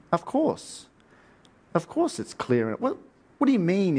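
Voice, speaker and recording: A man with a fairly high voice delivers a sermon that plays like an informal history lecture. He tells it in colloquial language, as a story, and puts rhetorical questions to his listeners. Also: a little mellow. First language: English. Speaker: preacher teaching on Bible history.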